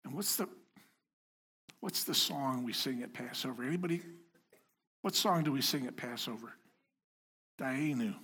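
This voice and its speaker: A man with a slightly husky voice gives a relaxed sermon to his congregation. He keeps it conversational and self-deprecating, teasing the people in front of him as he goes. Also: medium pitch, moderate pace, pauses often. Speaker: lay preacher. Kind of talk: informal sermon. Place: house of worship before a congregation.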